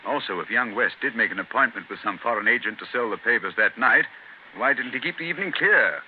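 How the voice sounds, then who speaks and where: An actor playing a brisk, theatrical English gentleman detective in a 1930s radio drama, repeating phrases and firing off questions. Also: medium pitch, expressive, lightly acted. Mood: musing, puzzled. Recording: scratchy, tinny 1930s radio recording.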